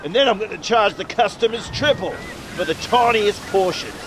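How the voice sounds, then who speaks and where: A woman's voice: medium pitch, animated, normal speed, background noise, close to an everyday voice. Woman as a gloating, greedy villainous manager. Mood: gloating, scheming. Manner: gloating, boastful, cackling. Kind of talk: melodramatic radio play parody.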